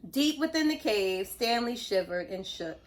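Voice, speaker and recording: A woman reading a story aloud to young children, expressive, pausing to explain things and ask simple questions. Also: fairly high pitch. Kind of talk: animated read-aloud narration.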